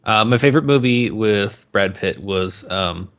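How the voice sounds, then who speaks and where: A man co-hosting a podcast, chatting casually and profanely about movies in an opinionated, mocking, animated way. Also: lowish voice, measured pace, clear, resonant tone. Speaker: podcast co-host.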